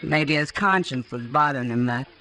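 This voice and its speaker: A woman's voice: extremely low pitch, normal speed, pushed and bright, heavily acted. An actress playing a frank, worldly, caring woman of the Old West in a 1950s radio western, direct and knowing. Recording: noisy 1950s radio recording.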